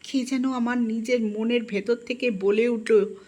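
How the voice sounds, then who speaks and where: A woman reads a first-person literary story in an expressive, melancholic and dramatic manner. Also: a little high, unhurried. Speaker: audiobook narrator.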